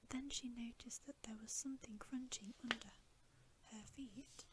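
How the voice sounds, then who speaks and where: A woman reading a bedtime story as ASMR in a soft, breathy whisper, gentle and soothing, close to the microphone. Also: on the high side, fairly even intonation, unhurried, heavy background noise.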